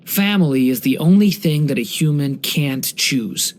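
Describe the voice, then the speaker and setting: An actor voicing a gentle, earnest young dragon boy in a video game, speaking with soft-hearted wonder and care. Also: medium pitch, expressive, unhurried, close to an everyday voice. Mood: thoughtful, sincere. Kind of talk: scripted game character voice lines.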